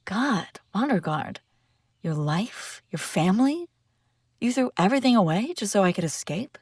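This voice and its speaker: A woman's voice: mid-range voice, normal speed, breathy edge, no room sound. Woman acting as commanding fugitive princess, close and dry. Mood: incredulous, dawning realization. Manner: dramatic, expressive, speaking straight to the listener. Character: escaped enemy princess, commanding, incredulous, wry. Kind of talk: immersive audio roleplay acting, one side of a dialogue.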